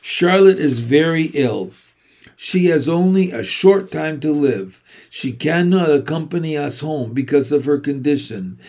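A man's voice: a little high, animated, measured pace, a little mellow. A man voicing an earnest, emotional young male pig in a storybook, pleading and exclaiming with urgency. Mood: urgent pleading.

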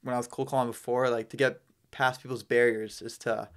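A man's voice: medium pitch, little pitch movement, a little fast, clean tone. A man chatting casually as a podcast guest, explaining his business in loose, slangy, conversational phrases. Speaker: podcast guest, real estate business owner.

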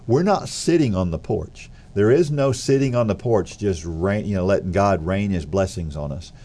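Congested low-voiced man. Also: moderate pace. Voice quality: congested, nasal. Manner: thoughtful, relaxed, frequent affirmations. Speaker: podcast co-host. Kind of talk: conversational faith podcast.

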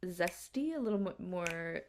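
A woman's voice: on the low side, lively intonation, unhurried, a little hiss or hum. A young woman co-hosting a podcast, chatting casually with lots of fillers and casual swearing. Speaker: podcast co-host. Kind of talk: casual chat.

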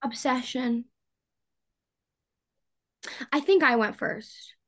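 Chatty woman with a higher-pitched voice. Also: drawn-out words. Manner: chatty, slangy, informal. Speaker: podcast co-host. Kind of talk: casual book chat.

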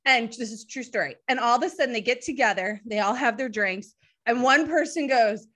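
A woman's voice: fairly high pitch, brisk, a bit bright. A woman from the United States hosting a podcast, chatting in a playful, cheeky way. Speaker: podcast host. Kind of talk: casual chat.

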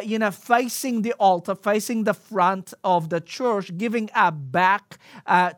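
A man's voice: sits high, a bit bright, no room sound, studio quality. A man telling a personal story in a casual, hesitant way, full of fillers and restarts, speaking English as a second language. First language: a language other than English.

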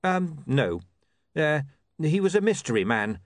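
A mild, earnest young man as a male reader plays him, somewhat high and lively. He speaks politely and haltingly, in a dry storybook recording. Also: dry recording. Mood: sheepish.

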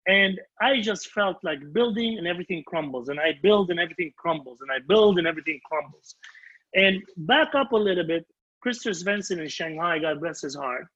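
High-pitched husky man, animated storyteller. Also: fairly quick. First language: Arabic. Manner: emphatic, self-deprecating, repeats phrases. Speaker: band leader and singer recounting his career. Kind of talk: animated anecdotal storytelling in conversation. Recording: dull, muffled lo-fi sound.

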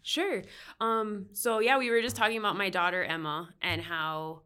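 Bright, smooth-voiced woman counselor. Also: mid-range voice, fairly even intonation, normal speed. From Miami, United States. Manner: explanatory, with frequent "like" and "um" fillers. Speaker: counseling professor and licensed counselor. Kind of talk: conversational podcast interview.

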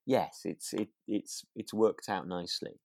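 A man co-hosting a podcast in relaxed chat, hesitant and correcting himself as he thinks aloud. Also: deep voice, measured pace, no room sound, clean studio sound. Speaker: podcast co-host. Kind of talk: relaxed chat reviewing a radio drama.

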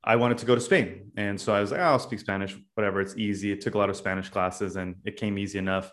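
A young man from California, a podcast guest telling his story in a loose, casual way with many pauses and "uh"s. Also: lowish voice, steady tone, a bit bright. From California, USA. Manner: loose, thinks aloud with many "uh"s.

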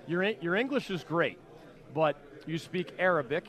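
A man's voice: a little high, lively intonation, normal speed, a bit bright. A man hosting a radio interview, talkative and jokey as he asks questions. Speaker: radio show host.

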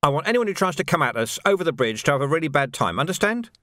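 Man as a wry, commanding watchman, animated pitch. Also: fast talker, no room sound. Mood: firm, commanding. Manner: terse, dry, authoritative. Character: commanding, wry, world-weary male watch sergeant. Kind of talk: audiobook character voice.

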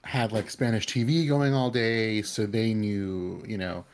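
A man's voice: lowish voice, steady tone, unhurried. A man from the Bay Area talking casually in an interview, rambling with lots of fillers and restarts. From California Bay Area. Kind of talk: casual conversation.

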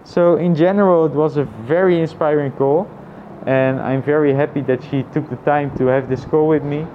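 A man thinking aloud in a casual, reflective personal vlog, with halting phrasing and frequent repetition. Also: fairly high pitch, fairly even intonation, narrow, tinny audio, a little hiss or hum. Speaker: vlogger.

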